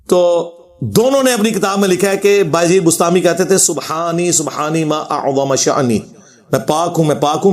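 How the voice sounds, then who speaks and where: Male religious lecturer, high voice, Pakistani Punjabi accent. Informal, combative rebuttal style with a sardonic edge. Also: a little mellow. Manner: argumentative, sardonic, quoting scripture.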